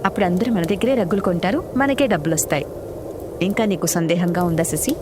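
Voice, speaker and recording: A woman's voice: on the low side, fast talker, background noise, close to an everyday voice. A woman voicing a confident pigeon husband in a cartoon story, explaining his schemes in a reassuring way. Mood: reassuring, persuasive.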